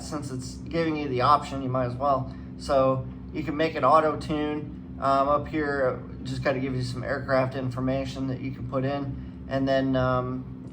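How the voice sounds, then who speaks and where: A man giving casual hands-on commentary as a flight simulator guide, rambling and thinking aloud. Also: medium pitch. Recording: clearly noisy room background.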